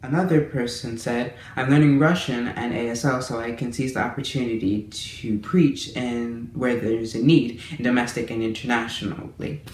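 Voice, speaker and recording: A man's voice: mid-range voice, a little mellow. A man giving a casual monthly language-learning update to his viewers, talking in a friendly, chatty way with small restarts. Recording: roomy echo with faint room noise.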